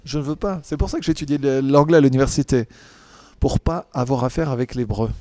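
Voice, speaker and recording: A male conference interpreter with a warm, mellow voice, rendering a panel speaker live in short halting phrases. He often breaks off and corrects himself as he goes. The sound is dry and close, with no room around it. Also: medium pitch, dry recording. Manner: halting, with restarts and self-corrections.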